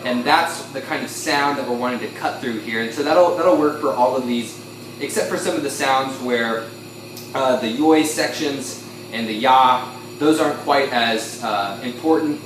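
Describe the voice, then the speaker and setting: A man's voice: medium pitch, normal speed, far from the mic, background noise. A man gives an informal instrument tutorial in an echoey room, a little off the mic. He explains step by step in a hesitant way, often correcting himself. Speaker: instructor demonstrating a talk box.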